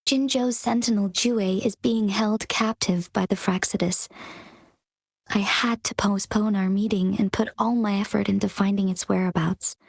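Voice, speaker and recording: An actress playing a composed young female leader in a video game cutscene, speaking calmly and formally in measured explanations. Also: medium pitch, gravelly, no room sound. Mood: earnest.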